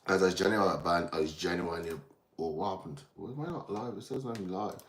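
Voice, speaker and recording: A man with a low voice and an Irish accent talks casually to his livestream viewers. He drifts between thoughts in a rambling, distracted way, breaking off to address people in the chat. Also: fast talker, a little hiss or hum. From Ireland, from Dublin. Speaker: livestream host.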